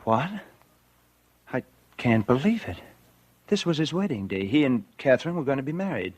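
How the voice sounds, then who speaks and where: A man's voice: medium pitch, big pitch swings, normal speed, slightly rough, dry recording. An actor playing a guilty, scheming man who confides his crime in 1940s radio suspense style. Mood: feigned innocence. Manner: confessional first-person narration. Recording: vintage 1940s radio with loud music.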